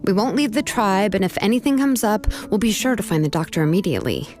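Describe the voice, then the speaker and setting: A young woman voicing a friendly, helpful craftswoman in a game cutscene, relaxed and reassuring. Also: medium pitch, fast, lightly acted. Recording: game audio with background music.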